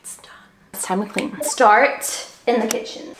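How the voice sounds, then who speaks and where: A woman's voice: a little high, unhurried, in a whisper. A woman, chatting casually to a handheld camera in her apartment, self-deprecating and full of "like" fillers. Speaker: lifestyle vlogger. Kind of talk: casual vlog chat to camera. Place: small apartment room, indoors. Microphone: handheld camera at arm's length.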